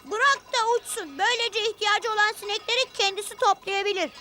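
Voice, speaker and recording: A jealous, sulky young boy in a dubbed cartoon. The voice is very high, childlike and dismissive, grumbling along over clear background music. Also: brisk. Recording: music behind the voice.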